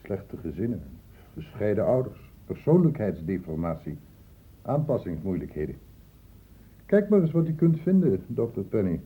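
An actor playing a tactful, earnest senior doctor in a radio drama, speaking in a measured, articulate, reasoning way. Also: male voice, lowish voice, very animated, roomy sound, phone-quality sound. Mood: brisk, professional. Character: tactful, earnest male doctor heading a department.

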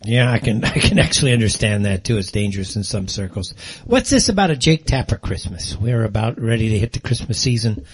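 Husky American man hosting a talk show. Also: lowish voice, animated, fairly quick, few pauses. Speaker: talk show host, interviewer. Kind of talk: conversational political interview.

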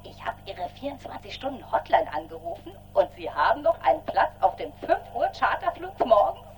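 An actress playing an impulsive, excitable woman leaving phone messages in a radio drama. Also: fairly high pitch, brisk, a little hiss or hum, low-quality recording. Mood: breathlessly resolute. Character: impulsive, excitable woman confiding in her best friend.

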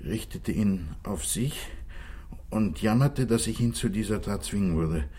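A man's voice: on the low side, expressive, measured pace, mellow, slightly rough. A man acting as a remorseful, desperate fugitive making a confession in a German radio crime drama, earnest and pleading. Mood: pleading, confessional.

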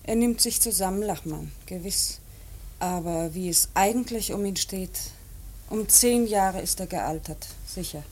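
An actress playing an earnest, troubled young woman in grief, halting and intense, in a German radio play. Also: medium pitch, a little mellow, a little hiss or hum, lightly acted. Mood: subdued, concerned. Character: earnest, troubled grieving daughter and sister.

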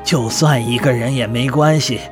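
Older father, male actor: colloquial, bantering, warm-hearted under the gruffness, radio-drama delivery with light music behind. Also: medium pitch, moderate pace, natural voice. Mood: resigned, loving. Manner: folksy, bantering, plain-spoken. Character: gruff but loving, accepting older father. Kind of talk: audio drama acting, colloquial.

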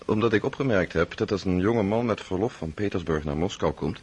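A thoughtful, kindly family friend in a radio play. He asks gentle, probing questions. His voice is very low and very husky. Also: fairly quick.

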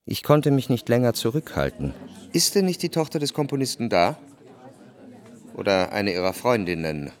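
An actor playing an observant salon guest who narrates in the first person in a literary radio drama. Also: male voice, medium pitch, big pitch swings, normal speed, lightly acted. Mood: observant, composed.

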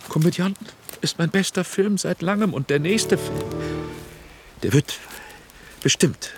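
A man's voice: sits high, measured pace, music in the background. An actor playing a proud, defensive film director who justifies his choices in a radio drama. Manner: animated, self-justifying.

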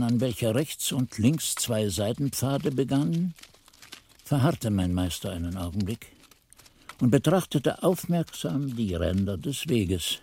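An actor playing an old monk who recalls his youth, narrating a radio play in a measured, solemn, brooding way. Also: medium pitch, mellow, breathy edge.

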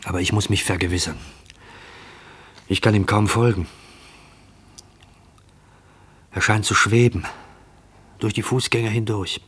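Anxious watcher, low husky voice, hushed, deliberate, questioning, radio play monologue. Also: male voice, fairly even intonation. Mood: anxious, watchful. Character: fearful man shadowing a mysterious stranger.

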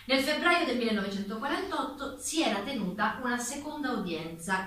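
A woman's voice: on the high side, bright, forceful tone. A woman performing as the formal narrator of a political stage play, composed and expository in scripted delivery. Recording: echoey room, distant mic, faint noise.

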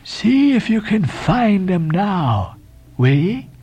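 A man voicing an uncanny, cracked old sailor-prophet in an audiobook, teasing and cryptic, with drawn-out repetitions in seaman's dialect. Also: sits high, very animated, dark tone, husky, pitched above the performer's own voice, heavily acted. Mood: insistent, cryptic.

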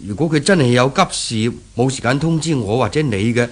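A man's voice: medium pitch, fast, lightly acted. A man acting in a 1970s Cantonese radio drama as an anxious middle-aged shopkeeper father with a Hong Kong accent, stammering and repeating his words. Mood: worried. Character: anxious older shopkeeper father, flustered and kindly.

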